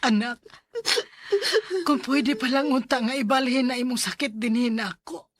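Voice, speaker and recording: A woman plays a devoted, anxious mother in a Bisaya radio drama. Her high voice has a husky edge, and she speaks with tender, heavy emotion. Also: fairly even intonation, moderate pace, lightly acted. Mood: tearful, tender. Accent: Filipino, Bisaya. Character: worried, devoted mother of an ailing daughter. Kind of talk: Filipino radio drama acting.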